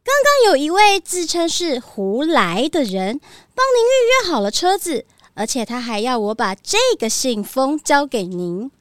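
A woman's voice: high voice, big pitch swings, unhurried. A woman playing a polite young rental clerk with chirpy, sing-song customer-service manners in a children's audio drama.

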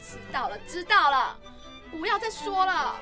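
Woman acting a high-pitched, vain teenage girl streamer. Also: very animated, music in the background. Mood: anxious, peevish. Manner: cutesy, drawn-out, refers to herself by name. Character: vain eighteen-year-old girl beauty livestreamer, cutesy and fake-sweet. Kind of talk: radio drama acting.

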